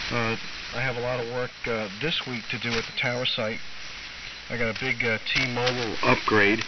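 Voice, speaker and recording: A middle-aged or older American man chatting casually as a ham radio operator over AM radio, halting and often repeating himself. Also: mid-range voice. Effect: AM shortwave radio. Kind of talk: casual rambling radio chat. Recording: muffled, very noisy AM shortwave radio, distant. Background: loud static and radio noise.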